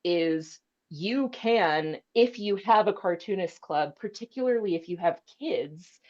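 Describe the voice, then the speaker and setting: A woman's voice: medium pitch, a little mellow, slightly rough, muffled recording. A woman librarian speaking informally on an online panel, presenting in a chatty way with conversational asides. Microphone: video call.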